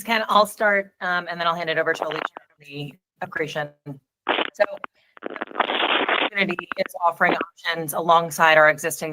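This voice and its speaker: A woman with a low-pitched voice and a bright, forceful edge gives a prepared corporate answer. Her delivery is structured and businesslike, as on an investor call. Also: poor audio. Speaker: company executive on an earnings call.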